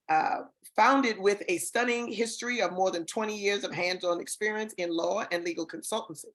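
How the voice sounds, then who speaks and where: Effusive woman hosting an online award show. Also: medium pitch. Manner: warm, effusive, stumbles over names and words. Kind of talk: reading award introductions, online ceremony. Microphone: video call.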